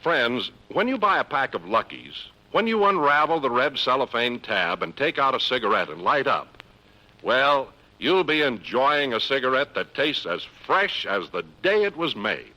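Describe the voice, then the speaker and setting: A man's voice: mid-range voice, big pitch swings, moderate pace, bright, forceful tone, rough recording. An American man announcing in 1950s radio advertising style with emphatic, polished salesmanship.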